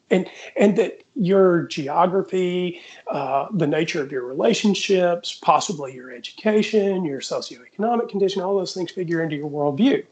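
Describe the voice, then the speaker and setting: A man in casual debate, speaking in a measured, deliberate and conciliatory way as he orders his points. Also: high voice, a little mellow.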